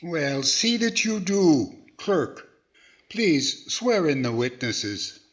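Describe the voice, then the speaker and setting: An actor playing a stern, formal courtroom judge, exasperated and admonishing, in a 1940s radio comedy. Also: male voice, on the high side, sing-song, drawn-out words, full character voice. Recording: dull audio with faint noise, clicks.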